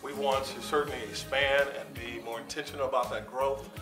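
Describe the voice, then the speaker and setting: A man, founder of an organization, giving steady, measured interview answers full of figures and dates. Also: mid-range voice. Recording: roomy sound from a distance with music underneath.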